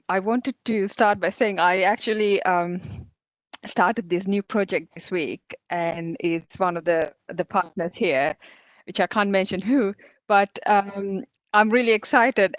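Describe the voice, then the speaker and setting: A woman's voice: medium pitch, steady tone, moderate pace, no room sound. A woman in her forties with a Sri Lankan accent, originally from Sri Lanka, speaking as a guest at an online conference in a chatty, upbeat way, with lots of fillers and small laughs. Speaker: guest speaker, cybersecurity company director. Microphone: video call. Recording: dull lo-fi video call audio.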